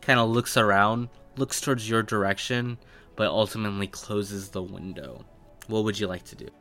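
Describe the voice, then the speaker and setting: A man with a bright, fairly deep voice narrates a tabletop roleplaying game. He takes his time, building scenes in halting phrases over soft background music. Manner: halting, piecing descriptions together phrase by phrase. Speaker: game master.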